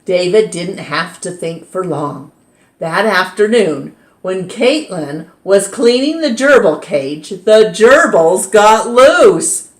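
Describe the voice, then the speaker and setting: Storytime host, woman, slow and animated, roomy sound. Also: mid-range voice. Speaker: storytime reader. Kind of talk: reading a picture book aloud. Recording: roomy echo.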